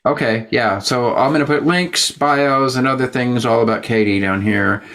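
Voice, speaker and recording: A man's voice: medium pitch, moderate pace, a bit bright. A man hosting an interview in a casual, jokey way, wandering off on tangents as he chats. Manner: rambling, jokey, tangent-prone. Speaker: interview host and show producer. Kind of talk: casual rambling interview chat.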